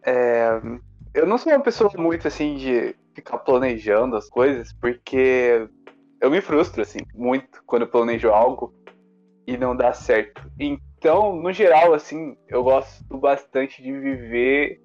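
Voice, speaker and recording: A young man in his twenties answers interview questions on a casual podcast, candid and a little nervous. His voice sits at an ordinary middle pitch. The audio is slightly dull, with faint music underneath. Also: unhurried. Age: 20s. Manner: relaxed, candid, a bit nervous. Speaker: podcast co-host, interview guest. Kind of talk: casual chat.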